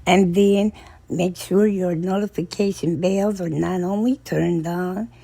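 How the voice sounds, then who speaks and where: A woman hosting a book channel, retelling a novel's plot in a relaxed, storytelling way, her voice raspy and hoarse from talking a long time. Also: on the low side, unhurried, dry recording. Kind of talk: casual book recap.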